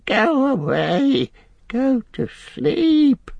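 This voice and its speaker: Whiny sly little creature for a storybook, strained and whimpery, pitch leaping way up, drawn out. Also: male voice, big pitch swings, very slow, gravelly, darkish tone, no echo, dull audio, full character voice. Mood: tormented sobbing. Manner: hissing repetitions, speaks of himself as we. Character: wretched whining creature, cunning, sly and self-pitying.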